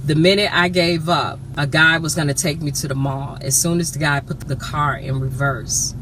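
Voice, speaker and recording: A woman from with a flat voice, a radio DJ telling her story bluntly, cursing freely in a casual interview. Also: sits low, normal speed. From Chicago. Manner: blunt, profane, animated storytelling. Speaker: radio DJ guest. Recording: noisy background.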